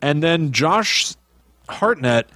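A man's voice: on the high side, very animated, drawn-out words, pushed and bright, no room sound. A man co-hosting a podcast, chatting casually as he retells films. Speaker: podcast co-host.

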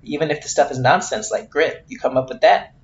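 A man's voice: medium pitch, brisk. A man hosting his own podcast, talking candidly and self-deprecatingly in a reflective solo monologue, full of fillers and restarts. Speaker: podcast host. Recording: roomy, dull lo-fi sound.

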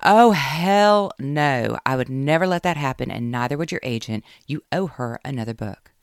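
A reader voicing a brash, cheering southern woman friend with a drawl and loud, emphatic delivery in an audiobook. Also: medium pitch, expressive, brisk, clear, resonant tone, no echo, studio quality, lightly acted.

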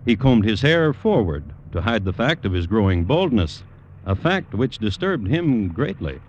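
Low-voiced, dry radio narrator. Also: male voice, very animated, lo-fi sound. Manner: dry, deliberate, measured. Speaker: host and narrator. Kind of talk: 1950s radio scripted narration. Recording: hissy old radio recording.

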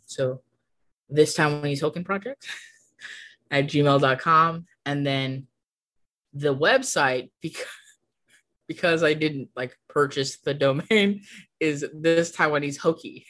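A woman's voice: low-pitched, expressive, takes it slowly, long pauses, fairly bright tone. A young woman guest on a podcast, talking casually and often laughing. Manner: chatty, laughs often, fillers like "like". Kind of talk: casual conversation.

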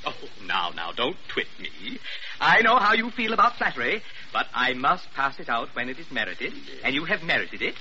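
An actor playing a pompous, fussy hospital chief in an old radio drama, formal and emphatic, handing out grand praise. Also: male voice, mid-range voice, sing-song, moderate pace, pushed and bright. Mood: pompous, magnanimous. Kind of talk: 1940s-50s American radio drama acting. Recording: muffled, faintly noisy old radio recording.

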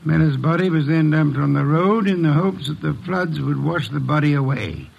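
Imperious radio-mystery detective: highish, gravelly male voice, formal, grumbling, pompous. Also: moderate pace, lo-fi sound, full character voice. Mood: grave, deliberate. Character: imperious, irritable, erudite male private detective. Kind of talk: old-time radio mystery acting.

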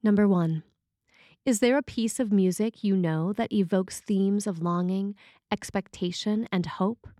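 A woman priest reading a scripted sermon in a measured, formal way. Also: mid-range voice, mellow, no room sound, clean studio sound.

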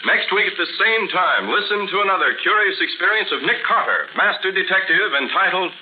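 An American male announcer in classic 1940s radio style. His delivery is polished and emphatic, rather high in pitch and very lively. It comes through a muffled old broadcast. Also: brisk, very noisy.

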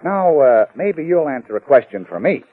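Sheepish wisecracking prankster, up and down voice, tinny old radio. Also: male voice, medium pitch, sing-song, normal speed, husky, dry recording. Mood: wry. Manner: hesitant, wisecracking. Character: sheepish, flippant male prankster. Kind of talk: 1940s radio acting.

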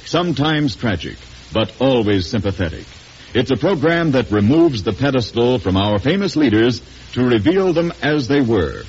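A man's voice: medium pitch, a little mellow, breathy edge. A man working as a radio announcer, reading a promotion in a dramatic, formal 1940s style. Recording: muffled vintage radio recording.

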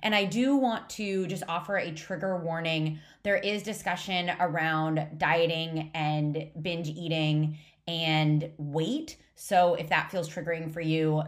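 A woman's voice: sits low, measured pace. A woman in her thirties, a podcast host and nutrition coach, chatting casually and upbeat with her best friend. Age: 30s.

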